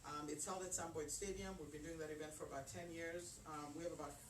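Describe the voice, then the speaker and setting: A woman's voice: low voice, steady tone, quick pace. A woman gives an informal, chatty talk to a group about her catering business, with lots of fillers and restarts. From Kenya. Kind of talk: informal talk at a community event. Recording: roomy, distant and noisy event recording.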